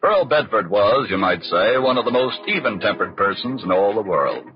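An actor serving as a wry crime-story narrator with an American accent and dramatic, measured 1940s radio delivery. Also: male voice, fairly low pitch, lively intonation, close to an everyday voice. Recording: dull vintage radio, faint music.

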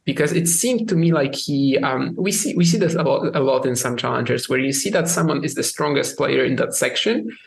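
A man's voice: medium pitch, animated, brisk, creaky, hardly any pauses, a little hiss or hum. A man co-hosting a sports podcast, talking casually with many restarts and fillers. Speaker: podcast co-host.

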